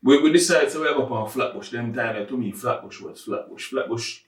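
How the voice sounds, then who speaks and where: A man's voice: mid-range voice, fairly quick. A man with a Jamaican accent hosting a casual podcast, making his points emphatically and repeating words as he builds them. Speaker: podcast host. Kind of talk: casual discussion and storytelling. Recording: echoey room, distant from mic.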